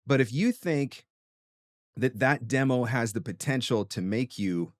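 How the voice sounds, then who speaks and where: A man's voice: medium pitch, a little slow, no room sound, clean studio sound. A man hosting an interview as a voiceover coach, giving conversational advice in an emphatic, explanatory and encouraging way.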